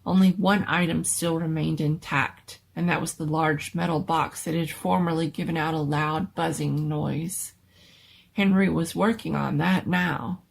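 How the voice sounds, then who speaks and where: A woman's voice: sits low, steady tone, measured pace, gravelly. A woman giving a dramatic reading of a scary story as its storyteller.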